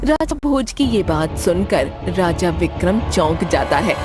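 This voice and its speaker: A woman's voice: on the low side, sing-song, measured pace. A woman narrator reading a scripted moral story for a cartoon. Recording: music behind the voice.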